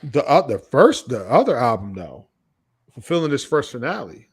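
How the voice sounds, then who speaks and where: A man in his late forties with a medium-pitched voice co-hosts a casual podcast. He argues his opinions emphatically and often circles back to repeat a point for weight. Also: sing-song, normal speed, husky. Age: late 40s. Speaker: podcast co-host. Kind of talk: casual music debate.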